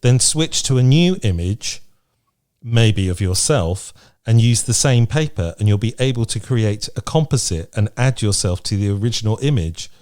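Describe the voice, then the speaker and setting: A man's voice: fairly low pitch, expressive, normal speed, a little mellow. A British man co-hosting a podcast, chatting casually and reading out lists in a frank, playful way. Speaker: podcast co-host. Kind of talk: casual chat, reading out from a list.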